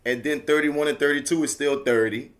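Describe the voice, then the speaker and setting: A man's voice: fairly high pitch, fairly even intonation, brisk. A man in his thirties with a New Orleans accent, co-hosting a podcast in casual chat, emphatic and laughing often. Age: 30s. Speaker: podcast co-host.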